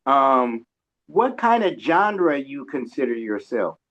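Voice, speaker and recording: A man's voice: mid-range voice, sing-song, slow. A man hosting a casual interview in an informal, colloquial, joking way. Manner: informal, colloquial, self-described loud joker. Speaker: radio show host. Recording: dull lo-fi audio.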